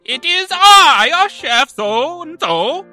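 A man's voice: extremely high pitch, very animated, extremely slow, pushed and bright, soft background music, exaggerated voice. A man playing a grandiose, intrusively generous chef with theatrical announcing in a comic audio play.

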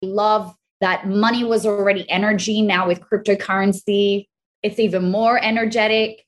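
A woman's voice: medium pitch, fairly even intonation, normal speed. Enthusiastic Australian woman guest. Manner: enthusiastic, earnest storytelling. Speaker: guest, crypto educator. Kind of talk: casual interview chat.

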